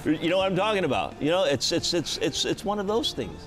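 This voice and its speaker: Animated, emphatic male talk show host. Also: fairly high pitch, fairly quick. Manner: emphatic, repeats phrases for stress. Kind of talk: conversational late-night interview. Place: TV studio talk show set. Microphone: lapel mic.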